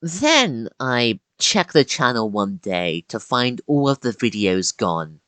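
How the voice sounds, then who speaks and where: Animated video-essay narrator, very varied pitch. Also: takes it slowly, clear, resonant tone, no echo. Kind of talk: scripted narration with casual asides.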